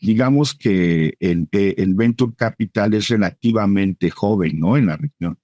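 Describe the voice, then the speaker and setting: Low-voiced male podcast interviewer. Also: measured pace, warm tone, no room sound, lo-fi sound. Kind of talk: conversational interviewing.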